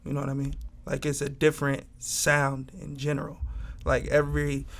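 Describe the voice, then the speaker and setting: A man's voice: mid-range voice, completely flat delivery, normal speed, gravelly. A man in his twenties from Southern California, a record label head talking in a loose, laid-back way with lots of slang and fillers. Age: 20s. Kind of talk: casual interview talk.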